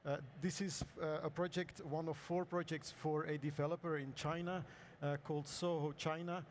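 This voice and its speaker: A man with a hoarse voice presenting his architecture practice's projects at a conference, halting and clearing his throat often. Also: fairly high pitch, flat delivery, a little hiss or hum. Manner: hesitant, many ums and uhs, clears throat. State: hoarse, lost voice. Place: conference hall.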